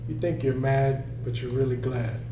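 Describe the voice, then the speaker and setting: A man's voice: mid-range voice, steady tone, normal speed, natural voice. A man playing a stern but caring middle-aged father and restaurant boss, scolding and reassuring by turns, in a screenplay table read. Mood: gentle, reassuring. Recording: echoey room, distant mic, faint hum.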